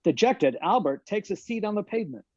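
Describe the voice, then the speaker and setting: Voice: mid-range voice, expressive, brisk, darkish tone, breathy edge, no room sound. A narrator reading scripted stage directions at a table read over a video call. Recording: muffled, dull video-call audio.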